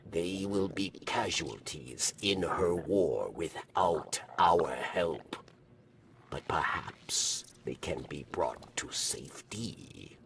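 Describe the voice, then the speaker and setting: A man playing a weary, conscientious alien exile in a video game, with a gravelly, whispery rasp and halting speech. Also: deep voice, expressive, a bit bright, far from the mic, full character voice. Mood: grave, sorrowful. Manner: halting, refers to himself in third person. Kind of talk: dramatic video game character acting.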